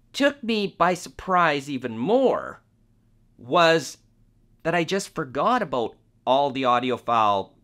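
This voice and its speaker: A man hosting a review to camera, speaking in deliberate phrases with emphatic stresses on key words. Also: mid-range voice, fairly bright tone. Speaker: audio reviewer and video host. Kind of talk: review talk to camera, semi-scripted.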